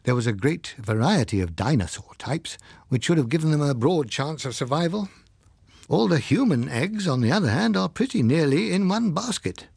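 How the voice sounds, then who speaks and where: A man voicing a learned, pompous scientist in an audiobook, speaking in a deliberate, drily ironic, lecturing manner. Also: on the high side, very animated, vocal fry, darkish tone, slightly rough, dry recording, clean studio sound, full character voice. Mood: wry, grave. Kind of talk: dramatised audiobook reading.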